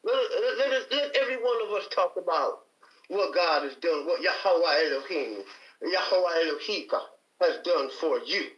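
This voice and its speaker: A man's voice: high-pitched, lively intonation, moderate pace, echoey room, highs cut off. A man from Detroit giving an emphatic, preacher-like testimony in a heated debate. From Detroit, Michigan. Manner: emphatic, preacher-like, repeats for stress. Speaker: panel guest.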